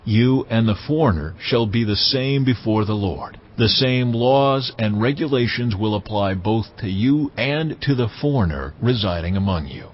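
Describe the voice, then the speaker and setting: An actor playing God as a stern, commanding lawgiver, delivering solemn decrees deliberately in a dramatized scripture reading. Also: male voice, on the low side, expressive, lo-fi sound, slight background noise, natural voice. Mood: solemn, instructive. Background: background music.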